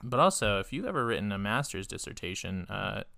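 A woman's voice: a bass voice, sing-song, brisk, vocal fry, fairly bright tone, no echo, studio quality. A woman co-hosting a podcast in casual chat, rambling with lots of filler words and laughter. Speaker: podcast co-host.

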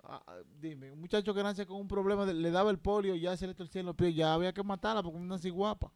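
Man, Dominican accent, smooth clear voice, slightly high, colloquial bantering, argumentative, casual co-host chat. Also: fast, a little mellow, dry recording. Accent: Dominican Spanish. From Dominican Republic. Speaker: podcast co-host.

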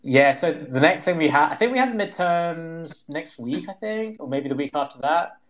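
A man's voice: on the high side, expressive, moderate pace. A man commenting on markets in a casual, explanatory way, often hedging and feeling his way through points.

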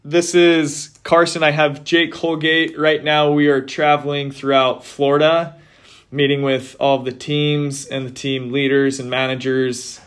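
A man's voice: fairly high pitch, flat delivery, unhurried, fairly bright tone. A young man hosting a podcast, upbeat and enthusiastic, with casual slang. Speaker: podcast host. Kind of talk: podcast interview.

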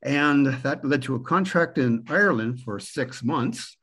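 A man in his sixties from Pennsylvania with an American accent, a guest on a podcast telling relaxed, rambling stories with the odd chuckle. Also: medium pitch, unhurried, husky. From Pennsylvania, USA. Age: 60s. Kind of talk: relaxed conversational storytelling.

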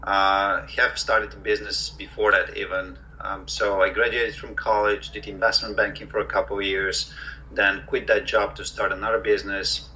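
Low, bright-toned man from Bulgaria. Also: level tone, moderate pace, muffled recording, a little hiss or hum. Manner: hesitant, many ums and uhs, reflective. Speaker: entrepreneur guest. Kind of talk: conversational interview.

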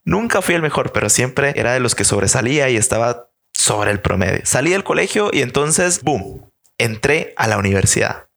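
A man's voice: mid-range voice, animated, normal speed, vocal fry, a bit bright. A man in his twenties with a Guatemalan accent, hosting a solo motivational podcast in an earnest, upbeat way with friendly tag questions. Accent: Guatemalan Spanish. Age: 20s. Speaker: podcast host.

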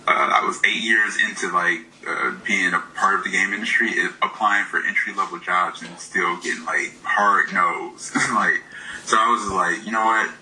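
A man's voice: low voice, moderate pace, pushed and bright, poor audio. A man in his thirties from Michigan, an indie game developer, talking casually in an interview, hesitant with many fillers and laughs, his voice slightly worn.

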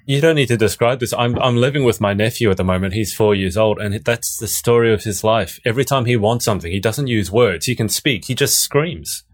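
A man's voice: fairly low pitch, fairly quick, hardly any pauses. A man with an Australian accent hosting an interview, asking questions casually and slipping in dry, teasing asides with stammered restarts. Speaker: podcast host.

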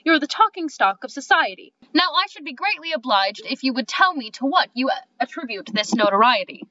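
A woman's voice: sits high, sing-song, fairly quick, pushed and bright, slight background noise, full character voice. A woman playing a proud, self-important male suitor in a comedic stage play, speaking in a stiff, formal, declamatory way. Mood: severe, haughty. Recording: dull, dry lo-fi sound.

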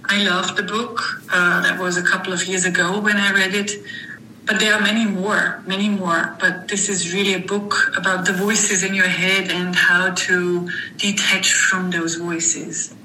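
A woman whose voice sounds very bright and clear, speaking slowly and in a flat, even tone. She answers interview questions thoughtfully and often restarts her sentences. The recording carries a noticeable room echo. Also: fairly low pitch, slight background noise. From Germany. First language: German. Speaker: guest, author and mentor.

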